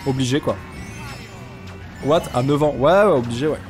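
A young man with a stuffy nose, chatting casually and joking deadpan with viewers while he streams a game. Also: mid-range voice, moderate pace, soft background music. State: congested, sick with sinusitis. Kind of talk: casual live-stream chat while gaming.

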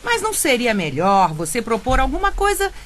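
A woman's voice: on the high side, wildly swooping pitch, moderate pace, a bit bright, slight background noise. An actress playing a meek, sensible single woman in a Brazilian comic radio soap, polite and tentative. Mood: earnest.

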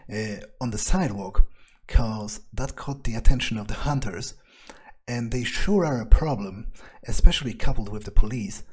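A man's voice: medium pitch, a little mellow, husky. A man roleplaying as he plays a game, thinking aloud in first person with casual swearing. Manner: musing, sweary. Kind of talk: in-character first-person musing over gameplay.

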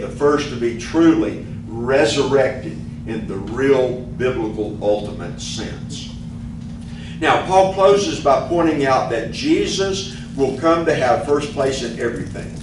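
An older male pastor gives an earnest, unhurried Bible teaching at a stand microphone. The room is very echoey, and he sounds a little distant from the mic. Steady background noise hums under his voice. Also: medium pitch. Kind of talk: sermon teaching. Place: church meeting room with band gear. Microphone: stand mic, filmed from a distance.

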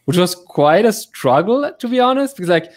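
A man's voice: sits high, big pitch swings, normal speed. A man in his thirties with a German accent, a native German speaker who is a guest in a casual video-call chat, full of "like" fillers as he searches for words. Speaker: guest software developer.

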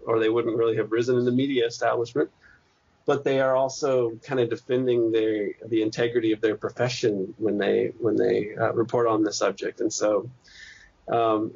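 A man speaks as an academic guest in a gravelly, husky voice. He works through his points slowly, pausing and restarting often. The recording sounds muffled and lo-fi. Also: mid-range voice, darkish tone. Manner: thoughtful, hesitant, many restarts and fillers. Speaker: interview guest, PhD candidate. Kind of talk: academic interview answers. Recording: dull lo-fi podcast audio.

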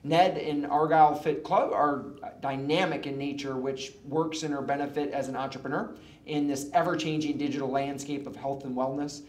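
Man hosting, halting delivery with fillers, roomy echo, mic set back. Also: fairly high pitch, fairly even intonation, a little mellow. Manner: halting, fillers, reads with slips. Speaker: interview host. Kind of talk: reading a guest bio, then casual interview. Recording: roomy, from a distance.

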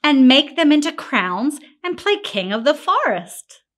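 A woman narrating a children's picture book in an expressive, deliberate way. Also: high-pitched. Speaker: storybook reader. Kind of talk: scripted children's story narration.

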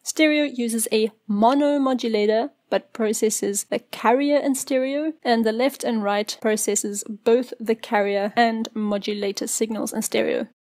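Woman, slightly high pitch, casual explanatory tutorial narration, close mic, dry sound. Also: steady tone, measured pace, hardly any pauses, a little mellow, dry recording. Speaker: tutorial presenter. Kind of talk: instructional software tutorial narration.

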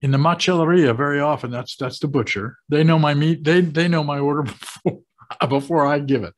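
A man in his sixties with an American accent talks to camera as a host, explaining things deliberately with pauses for emphasis. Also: fairly high pitch. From United States. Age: 60s. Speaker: host of a retirement advice channel. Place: small home office, indoors. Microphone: webcam video call setup.

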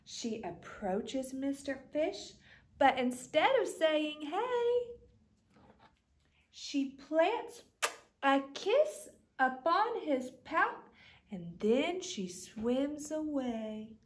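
Animated woman reading a picture book. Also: sits high, extremely slow, slight background noise. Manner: animated, rhythmic, teacherly. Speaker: school storytime reader. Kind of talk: expressive read-aloud of a picture book. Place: indoors in front of a large aquarium screen.

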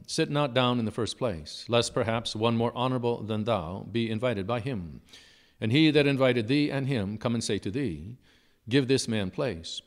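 A priest reading the gospel and delivering a measured, solemn sermon in a church, with rhetorical questions. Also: male voice, mid-range voice. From United States.

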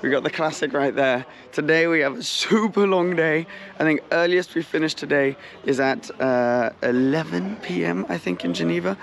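A man's voice: on the high side, moderate pace, gravelly. A young man talking casually to a handheld camera in a car and outdoors, chatty and upbeat, with his voice dropping at the ends of phrases. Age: young adult. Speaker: car vlogger. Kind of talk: casual travel vlog to camera. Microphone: handheld action camera at arm's length. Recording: car and road noise behind.

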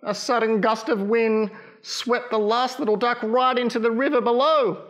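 A man in his thirties reading a picture book to children in a playful, expressive way. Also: extremely high pitch, normal speed. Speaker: library storytime reader. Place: small children's library corner, indoors.

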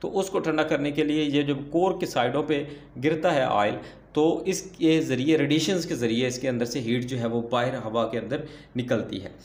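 A man's voice: mid-range voice, moderate pace. A man teaching repair at a workbench, explaining step by step and asking himself questions before answering them. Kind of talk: hands-on repair tutorial. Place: workbench indoors, off camera. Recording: echoey room.

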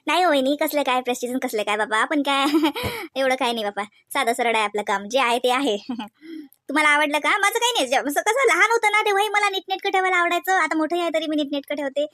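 A woman voicing a homely housewife hostess in a comedy cartoon, polite on the surface and privately sharp-tongued. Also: high-pitched, expressive, quick pace, few pauses, no room sound, clean studio sound. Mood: modest, cheerful. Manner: polite and chatty, with catty muttered asides. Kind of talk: exaggerated cartoon comedy dialogue.

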